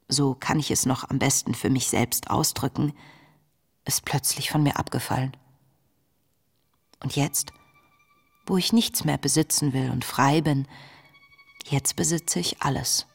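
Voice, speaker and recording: A passionate, searching young diarist in a radio drama. Her voice is very low and husky, measured and intimate as she confides in her diary. Music plays behind her. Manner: reflective, measured, earnest, confessional. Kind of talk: literary radio play monologue, intimate diary narration.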